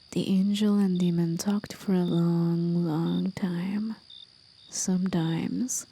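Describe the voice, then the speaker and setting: Woman as a wistful demon guide, hushed breathy whisper, low and mellow, ASMR storytelling, crickets in the night. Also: slight background noise. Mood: calm storytelling. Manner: slow, hushed, measured storyteller. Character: gentle, wistful, teasing demon guide telling an old tale. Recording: faint nighttime cricket and insect ambience. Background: crickets and night insects.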